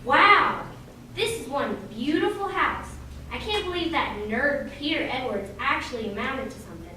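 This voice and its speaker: Brash, high-voiced young woman, acted, very echoey. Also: lively intonation, moderate pace, pushed and bright. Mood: gushing, brash. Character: brash, gushing, gold-digging young party guest. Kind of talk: amateur radio play acting. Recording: very echoey room, distant mic, noisy.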